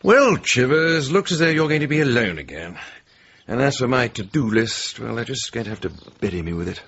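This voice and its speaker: An actor playing a dry, long-suffering middle-aged British gentleman sidekick in a radio drama, sarcastic and droll. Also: medium pitch, big pitch swings, a little fast, vocal fry, dull audio, slight background noise. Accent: British English.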